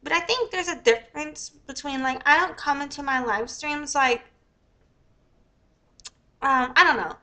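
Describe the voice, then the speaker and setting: A young woman with a squeaky voice who talks in a rambling, profane, casual livestream style. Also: measured pace, pauses often, bright, forceful tone. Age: young adult. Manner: rambling, profane, many fillers. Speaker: live streamer.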